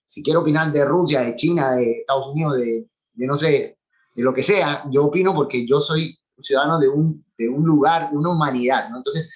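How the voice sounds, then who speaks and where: A man's voice: a little high, a little fast. A man from Cuba with a Cuban accent, chatting on a video call, talkative and opinionated, often laughing as he talks. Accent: Cuban Spanish. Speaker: former cultural animator. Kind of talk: casual chat on a video call. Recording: dull lo-fi video call audio.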